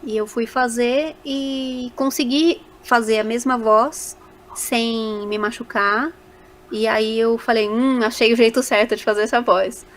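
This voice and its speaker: A woman's voice: fairly high pitch, steady tone, unhurried. A Brazilian woman speaking casually as a voice actor guest in a podcast interview, animatedly telling stories and acting out little quoted remarks.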